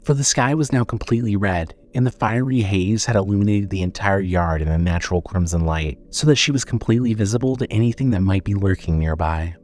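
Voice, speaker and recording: A man narrating a scripted horror story as storyteller, measured and suspenseful. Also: lowish voice, darkish tone.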